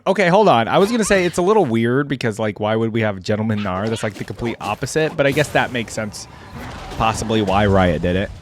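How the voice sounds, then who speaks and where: A man's voice: medium pitch, a little hiss or hum. A man giving casual reaction commentary as a gamer, thinking aloud in an informal way. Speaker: gamer reacting to game character skins.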